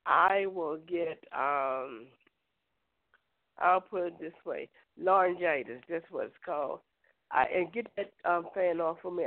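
A middle-aged woman hosting a prayer show, hoarse from a cold, chatting with listeners in a folksy, direct way. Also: fairly low pitch. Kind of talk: casual prayer show chat. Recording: tinny phone line, dry.

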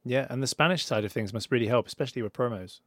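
Podcast host asking casual questions: mid-pitched, encouraging, recorded dry. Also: rapid-fire, no room sound. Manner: encouraging, laughs often. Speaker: interviewer. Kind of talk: casual interview chat.

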